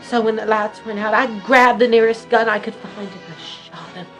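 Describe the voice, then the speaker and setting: A woman's voice: medium pitch, steady tone, moderate pace, muffled recording, close to an everyday voice. A woman playing an aggrieved, lovestruck young daughter in a comic radio drama, with a melodramatic delivery. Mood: confessing. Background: clear music.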